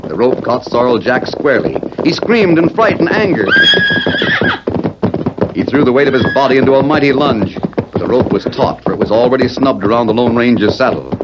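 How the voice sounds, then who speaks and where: A man's voice: medium pitch, animated, normal speed. A man narrating a 1940s radio western in a formal, dramatic storytelling style. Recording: noisy, muffled 1940s radio recording.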